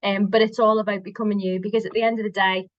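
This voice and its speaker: Liverpool-accented woman, middle-aged body worker, chatty and mid-pitched, lo-fi dry recording. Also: level tone, fast talker, dry recording. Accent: Liverpool, softened by years in Scotland. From Liverpool, England. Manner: chatty, warm, restarts sentences often. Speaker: guest, somatic body worker. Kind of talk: casual podcast chat. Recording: dry, dull lo-fi sound.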